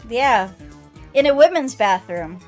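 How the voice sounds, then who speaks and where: A woman streamer in casual chat while reading through a game. Her voice is a little high, with music playing softly behind her. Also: expressive, a little slow.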